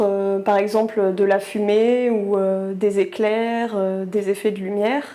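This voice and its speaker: A woman working in animation explaining her job, pausing often with fillers. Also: mid-range voice, dark tone. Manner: hesitant, many fillers. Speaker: compositing artist. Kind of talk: explanatory interview.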